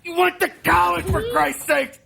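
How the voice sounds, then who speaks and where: An actor playing a combative, desperate, hard-drinking brother in a raw, naturalistic stage drama, agitated and argumentative. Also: male voice, very high voice, fairly even intonation, normal speed, vocal fry, sharp tone, heavily acted. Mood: heated, shouting. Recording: filmed stage play with faint noise.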